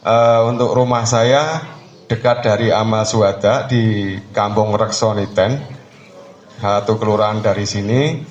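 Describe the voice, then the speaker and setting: A middle-aged man introduces himself informally to a group, pausing between short phrases. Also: on the low side, steady tone, dull audio. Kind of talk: informal self-introduction to a gathering. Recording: echoey room with faint people around.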